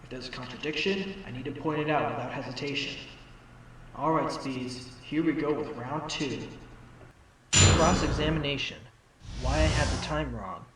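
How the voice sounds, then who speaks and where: Man playing a cocky, higher-voiced fox lawyer. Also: moderate pace, noisy background, close to an everyday voice. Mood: nervous resolve. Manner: smug, pointed, teasing. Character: cocky young male fox defense lawyer. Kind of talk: fan audio drama acting.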